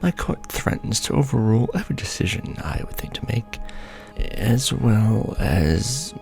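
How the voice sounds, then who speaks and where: A man's voice: fairly low pitch, big pitch swings, a little slow, gravelly, darkish tone, soft background music, exaggerated voice. A man playing an ancient, pompous, scheming vampire lord in a theatrical, courtly ASMR roleplay monologue. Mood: scheming, intense.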